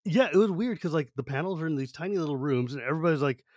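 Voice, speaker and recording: A man's voice: on the high side, animated, racing, darkish tone, no echo, studio quality. A male podcast host telling stories in casual chat, with a chatty, enthusiastic, slangy manner. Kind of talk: casual chat, animated storytelling.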